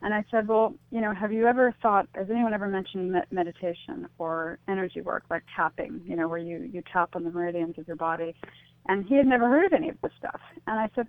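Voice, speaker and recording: A woman giving reflective, tentative interview answers as a guest, explaining things through anecdotes and analogies. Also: on the low side. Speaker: interview guest, author and speaker on suicide loss. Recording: tinny phone line, echoey.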